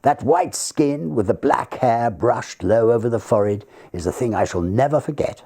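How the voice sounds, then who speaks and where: A man voicing an earnest, sensitive secretary in his twenties for a storybook, husky, eager and polite. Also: medium pitch, lively intonation, mellow, voice pushed up. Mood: haunted, earnest. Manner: eager, quick-spoken, polite.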